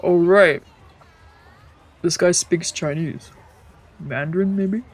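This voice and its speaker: Low-pitched, slow-paced woman reading a game aloud. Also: breathy edge. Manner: stumbles over lines, breaks into playful asides. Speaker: streamer reading a visual novel aloud. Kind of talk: let's play reading with casual reactions. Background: faint game music.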